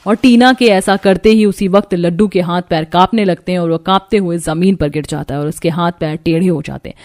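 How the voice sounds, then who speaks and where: A woman narrating a cartoon story in a calm, steady storybook style. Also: fairly quick. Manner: calm, steady, lower than her character voices.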